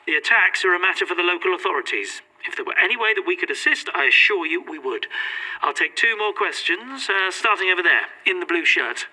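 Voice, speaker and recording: An actor playing a guarded, polished head archivist, making formal, evasive statements in an audio drama. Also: male voice, high-pitched, lively intonation, brisk, sharp tone, exaggerated voice, poor audio. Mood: curt, deflecting. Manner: formal, evasive, politely clipped.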